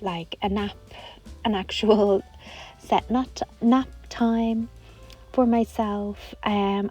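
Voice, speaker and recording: A hoarse woman, chatting casually to camera in a rambling way with lots of fillers. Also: medium pitch, darkish tone. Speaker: vlogger.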